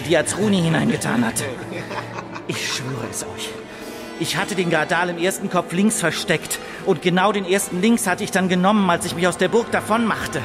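A male actor plays a blind, crippled old swindler in a radio drama. His voice sits fairly high as he tells his tale, plaintive and full of self-pity. Music plays clearly underneath. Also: lightly acted. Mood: aggrieved. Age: older. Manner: plaintive storytelling. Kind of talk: German radio drama monologue.

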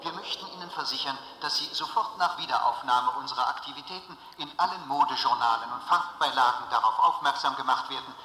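A man's voice: mid-range voice, fast, few pauses, pushed and bright, faint music behind, natural voice. A man playing an ancient taped announcement in a radio drama, speaking in excessively courteous, formal officialese. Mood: politely menacing. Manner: formal, unctuously polite officialese. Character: ancient recorded planetary answering announcement, excessively courteous and bureaucratic. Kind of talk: audio drama acting as taped public announcement. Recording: distant, echoing hall sound with noise.

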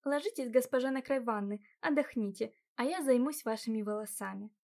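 Woman doing an excitable high young maid. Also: fairly quick, gravelly. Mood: eager. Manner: excited, exclaiming. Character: eager young female maid, warm and chatty.

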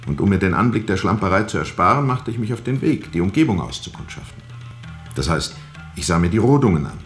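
An actor playing a brooding steamboat captain in a radio play, telling his story in a reflective, deliberate, formal and disillusioned way. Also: low voice. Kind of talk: radio play narration, literary monologue.

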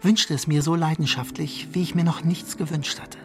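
A man's voice: fairly high pitch, steady tone, normal speed, lightly acted. An actor playing a condemned husband who narrates his downfall in a detached, ironic, literary way for a radio drama. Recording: music bed behind the voice.

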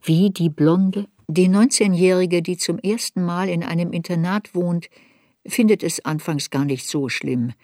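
A woman's voice: fairly low pitch, a little slow, dark tone, dry recording, clean studio sound, close to an everyday voice. An actress playing an adult woman memoirist who searches her girlhood unsparingly, in literary radio play narration. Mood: pensive.

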